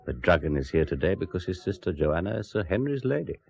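An actor playing a shrewd, watchful medieval steward in a radio drama, with a gravelly voice. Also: male voice, deep voice, very animated, fast talker, darkish tone, full character voice. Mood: dry, knowing. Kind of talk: 1970s radio drama acting. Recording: murmuring crowd behind.

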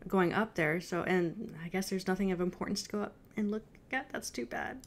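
A woman's voice: medium pitch, a little fast. A woman in her thirties giving casual, off-the-cuff reaction commentary at home. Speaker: reaction video host. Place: indoor room at home.

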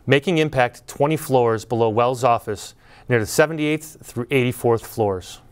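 A middle-aged man delivers a prepared commencement speech in a measured, earnest tone. His voice is smooth and resonant, at an ordinary pitch for a man. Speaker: school speaker at graduation. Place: indoor studio before green backdrop.

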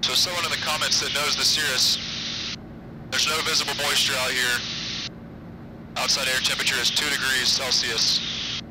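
Young man, flat-toned pilot in noisy cockpit. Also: medium pitch, moderate pace, long pauses. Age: young adult. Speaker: pilot and vlogger. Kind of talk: casual flight narration and radio calls. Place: small propeller plane cockpit. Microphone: aviation headset boom mic. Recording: loud engine noise through headset. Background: steady aircraft engine drone.

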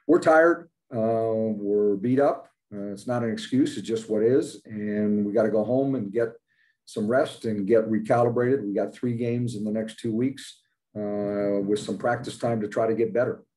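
Older coach, low mellow voice. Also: male voice, steady tone, normal speed, poor audio. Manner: plain-spoken, many fillers, matter-of-fact. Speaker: basketball head coach. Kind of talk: postgame press conference answers. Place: indoors in front of a logo backdrop. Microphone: video call, webcam at desk.

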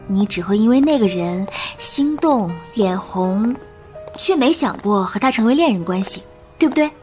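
A woman's voice: medium pitch, expressive, moderate pace, a little mellow, soft background music, natural voice. A young woman playing a bubbly, caring teenage girl in an audio comic drama. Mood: tender, concerned.

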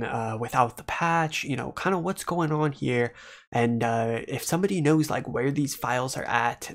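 A young man talking casually as he hosts a gaming tutorial video, recorded at a desk microphone. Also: medium pitch. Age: young adult. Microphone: desktop condenser microphone.

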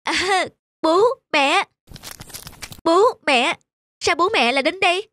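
A woman voicing an earnest, polite young man in an animated moral story. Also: very high voice, takes it slowly, noisy background. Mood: warm, earnest. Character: earnest young man, spendthrift son learning independence. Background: background music.

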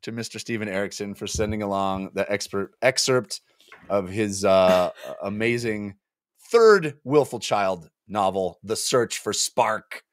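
A man in his forties hosting a book club, chatting casually and enthusiastically, with halting repeated words, on a close studio mic. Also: on the low side, no room sound. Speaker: book club podcast host. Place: small home room, indoors. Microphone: close studio mic on boom arm.

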